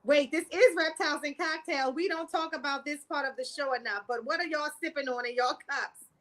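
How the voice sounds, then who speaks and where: A woman's voice: sits high, fairly quick, fairly bright tone. A woman with a Baltimore accent hosting a casual podcast, laughing often as she reads viewer comments aloud. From Baltimore, USA. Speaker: podcast host. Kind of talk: casual live-stream chat.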